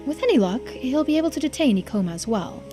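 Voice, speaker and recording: A woman's voice: high-pitched, sing-song, fast talker, over music. A woman reading video game dialogue aloud expressively as a streamer, playing out the characters. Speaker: streamer voicing a game playthrough.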